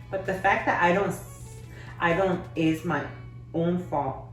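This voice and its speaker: Teacher read-aloud, woman, lowish voice, steady and measured; echoey room, distant mic. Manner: measured, steady, with occasional slips. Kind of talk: reading a children's novel aloud.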